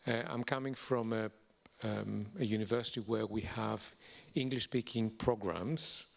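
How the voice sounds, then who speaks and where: Low, gravelly man speaking deliberately. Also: fairly even intonation. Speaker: panelist. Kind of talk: panel discussion.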